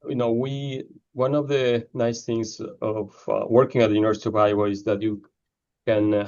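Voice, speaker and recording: A man from Ecuador answering interview questions about his research, often pausing with fillers. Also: lowish voice, a little mellow, lo-fi sound. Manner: hesitant, many fillers. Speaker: neurosurgeon researcher.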